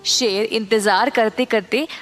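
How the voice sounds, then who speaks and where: High-voiced, slow woman storyteller. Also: fairly even intonation, a bit bright, faint music behind. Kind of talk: scripted children's story narration.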